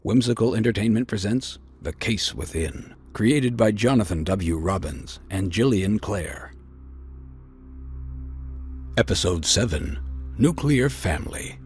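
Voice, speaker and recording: A man's voice: lowish voice, expressive, measured pace, faint music behind. A man reading scripted announcements as a podcast announcer. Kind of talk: scripted narration.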